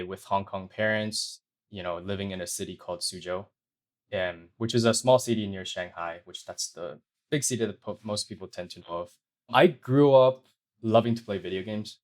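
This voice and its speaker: A young man who grew up in China, talking about his career as a guest on a podcast in a measured, thoughtful way. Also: fairly low pitch. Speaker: guest, sound designer. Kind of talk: interview answers.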